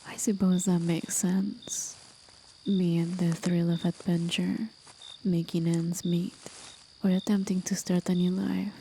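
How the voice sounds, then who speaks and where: A woman playing a playful, courteous demon forest guide in an ASMR roleplay, with soft, breathy, often whispered speech and a teasing, gently theatrical manner. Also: fairly low pitch, a little hiss or hum, poor audio. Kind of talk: ASMR roleplay, scripted acting.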